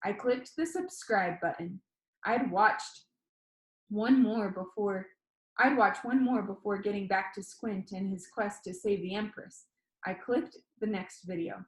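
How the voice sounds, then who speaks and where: A woman reading a children's novel aloud as expressive first-person audiobook narration. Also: medium pitch, unhurried, echoey room, poor audio. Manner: expressive read-aloud storytelling.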